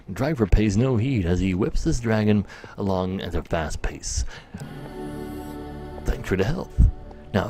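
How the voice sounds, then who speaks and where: Animated man livestreaming over game music. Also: medium pitch, vocal fry. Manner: chatty, talking to live viewers. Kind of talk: casual livestream commentary mixed with dramatic read-aloud story narration.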